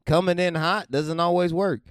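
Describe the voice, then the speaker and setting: A man's voice: high-pitched, steady tone, moderate pace, clear, resonant tone, no echo, clean studio sound. A man co-hosting a podcast, giving dating advice in a confident, emphatic way. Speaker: podcast co-host. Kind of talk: casual advice chat.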